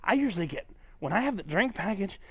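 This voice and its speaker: A man's voice: high-pitched, expressive, fast talker, a bit bright, husky. A tipsy man vlogging aboard a cruise ship, talking bluntly with plenty of swearing and sometimes dropping to a mutter. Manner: blunt, swearing, ranting, drops to a mutter at times. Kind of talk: casual walk-and-talk vlog.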